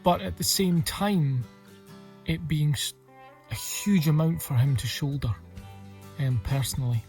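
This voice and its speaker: Slow-speaking man, slightly high voice. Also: darkish tone. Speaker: project team member. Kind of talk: reflective interview talk. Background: plucked guitar music.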